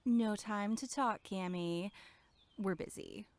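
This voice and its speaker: A woman's voice: mid-range voice, takes it slowly, no echo. A woman playing a sarcastic, snippy young female camp counselor in a horror audio drama, speaking in a curt, sardonic way. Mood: snippy, impatient.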